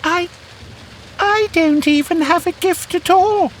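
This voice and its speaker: A man's voice: very high-pitched, slow pace, slight background noise, voice pushed up. A man voicing a shy, earnest little mouse in a children's fairytale cartoon. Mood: sheepish, wistful. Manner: soft, muttering, earnest. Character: shy little mouse, timid but eager storyteller.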